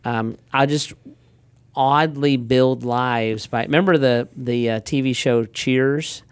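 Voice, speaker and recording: A middle-aged pastor talks in an easygoing, rambling way, often restarting sentences and acting out little exchanges. His voice is smooth and resonant, recorded close and dry. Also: mid-range voice, slow pace, dry recording. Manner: relaxed storyteller, frequent restarts and quoted asides. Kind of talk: casual conversational talk.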